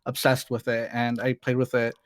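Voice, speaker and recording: A man's voice: mid-range voice, completely flat delivery, normal speed, no echo, studio quality. A man in his late twenties, a streamer, chatting casually as an interview guest, rambling a bit and laughing easily. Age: 20s.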